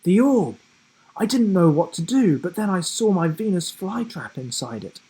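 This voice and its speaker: A man's voice: high-pitched, big pitch swings, normal speed, mellow, breathy edge, pitched above the performer's own voice, heavily acted, rough recording. A man voicing a young boy hero, hesitant but determined, in a children's adventure storybook. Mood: earnest.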